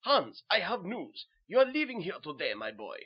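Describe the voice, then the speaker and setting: A man's voice: sits high, huge pitch swings, normal speed, breathy edge, highs cut off, pitched above the performer's own voice, exaggerated voice. A man playing an assured, kindly German doctor who explains things formally, with a German accent, in a horror story. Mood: reassuring.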